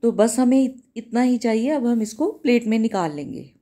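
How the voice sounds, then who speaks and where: Soft, mellow-voiced woman explaining a recipe. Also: a little high, normal speed. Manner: calm, instructive, repeats steps. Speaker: cooking channel host. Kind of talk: step-by-step recipe voice-over. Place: home kitchen.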